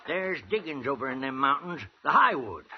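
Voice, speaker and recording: A muffled, hissy old radio recording carries an eager old American gold prospector. The voice is high for a man and crackles with gravel. He rambles in a folksy frontier drawl, toothless and cackling, in the manner of a 1950s radio western. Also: very animated, normal speed. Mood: excited yarn-spinning. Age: older.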